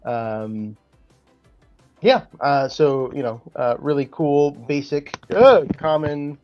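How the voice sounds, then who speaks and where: A man's voice: on the high side, slow pace, dull audio, soft background music. A man co-hosting a gaming podcast, explaining card stats step by step with lots of fillers. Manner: explains game cards step by step with many fillers. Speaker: podcast co-host and card game creator. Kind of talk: casual gaming podcast chat.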